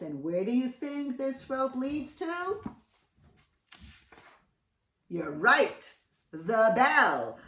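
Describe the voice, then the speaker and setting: A woman reads a picture book aloud for young children. Her high voice moves widely up and down, with dramatic emphasis and questions to the listener. It sounds echoey, as if recorded a little away from the mic. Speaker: children's read-aloud host. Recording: roomy echo, distant mic.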